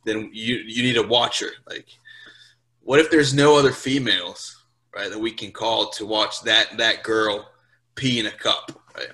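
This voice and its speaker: A man's voice: fairly low pitch, steady tone, measured pace, pushed and bright, poor audio. A man, a Portuguese speaker, chatting informally in an interview. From Brazil, later Portugal, England and Louisiana. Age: 20s. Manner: informal, swearing, checks in with "right?". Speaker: podcast guest, US Marine sergeant. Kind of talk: casual interview chat.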